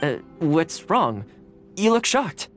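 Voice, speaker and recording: A man's voice: a little high, very animated, takes it slowly, fairly bright tone, soft background music, full character voice. A man playing a friendly, easygoing young male housekeeper in a video game, casual, courteous and modest in manner. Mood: welcoming, modest. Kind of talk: English video game voice acting.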